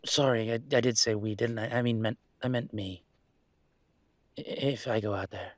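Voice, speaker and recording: A man playing a young werewolf boyfriend in an intimate audio roleplay, talking in a halting, rambling, conversational way with a gravelly creak. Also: mid-range voice, steady tone, no echo, natural voice. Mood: uneasy, dismissive. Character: young male werewolf boyfriend, hesitant, affectionate, weighing a move.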